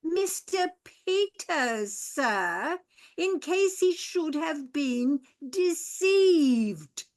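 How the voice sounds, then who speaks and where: A woman voicing a formal, deferential older male butler in a radio play, speaking with ornate politeness and hushed asides. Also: high-pitched, very animated, very slow, exaggerated voice. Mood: insistent.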